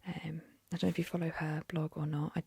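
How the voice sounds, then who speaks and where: A young woman whispering an ASMR story reading into a close mic, softly, with gentle fillers. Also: deep voice, level tone, fast talker, gravelly, husky, dry recording. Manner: soft whisper, chatty with fillers after the story.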